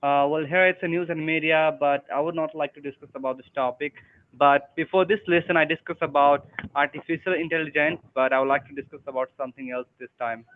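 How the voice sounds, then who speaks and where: A man's voice: a little high, fairly even intonation, fast talker. A young man who is learning English, talking hesitantly with many fillers on an online tutoring call. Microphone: video call. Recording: tinny phone-like call audio.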